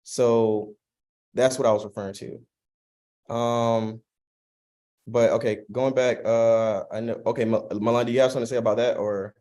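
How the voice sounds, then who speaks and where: A man's voice: medium pitch, level tone, moderate pace, mellow. A man chairing a student council meeting, casual and restarting his sentences.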